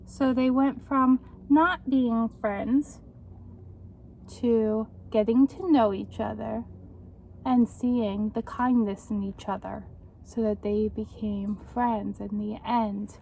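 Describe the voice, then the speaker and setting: A woman with a fairly high voice reads a picture book aloud for children, taking it very slowly. She is expressive and encouraging, like a teacher checking that young listeners follow along. There is some light noise behind her. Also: vocal fry, poor audio.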